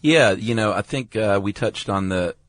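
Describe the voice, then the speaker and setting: Deep-voiced American man, casual podcast co-host. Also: normal speed, fairly bright tone, dry recording, soft background music. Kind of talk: casual conversational banter.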